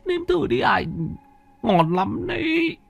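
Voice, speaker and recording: A terrified young wife in a horror storybook, stammering and begging in a high, trembling falsetto. Her pleas rise far above the narrator's own low voice, and the recording is dry and close. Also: sing-song, slow, gravelly, no echo, faint music behind, heavily acted. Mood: pleading.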